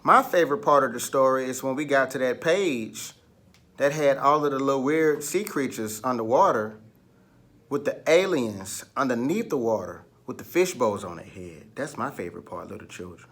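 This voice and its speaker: A man's voice: mid-range voice, expressive, moderate pace, fairly bright tone. Man reading stories aloud to children. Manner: addresses children directly with questions. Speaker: children's story reader. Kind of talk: storytelling read-aloud for children.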